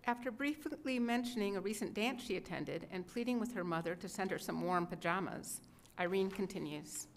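A woman's voice: mid-range voice, moderate pace. A middle-aged woman reads scripted biographical narration at a podium microphone in an indoor event room. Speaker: narrator introducing letters. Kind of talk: scripted narration, read aloud. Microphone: gooseneck podium microphone. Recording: live room recording with background noise.

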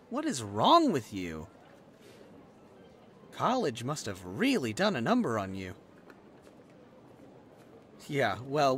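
A man's voice: medium pitch, a little hiss or hum. A man playing a brash, chatty young adult friend in a conversational roleplay audio drama, talking bluntly and casually. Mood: exasperated.

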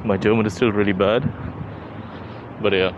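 A man's voice: fairly low pitch, fairly even intonation, moderate pace, dull audio. A young man giving casual, chatty walking-tour vlog commentary outdoors, thinking aloud as he goes. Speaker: vlogger. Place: outdoors on city streets while walking. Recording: noisy city street, traffic ambience.

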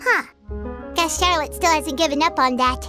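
A woman voicing a tiny, naive fairy companion in a video game, squeaky, childlike and cutesy. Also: normal speed, close to an everyday voice. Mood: reading aloud. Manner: cutesy, refers to herself by name. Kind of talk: English video game dialogue acting. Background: game music.

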